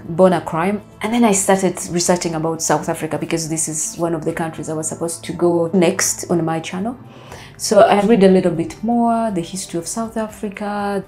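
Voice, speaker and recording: A woman's voice: medium pitch, warm tone. A Ugandan woman in her thirties chats straight to camera, her English shaped by her first language, Luganda. She talks things through as she goes and gestures freely with her hands. Faint music plays behind her lapel-miked voice. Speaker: travel vlogger. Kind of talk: casual talk to camera. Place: bright living room indoors. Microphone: lapel mic.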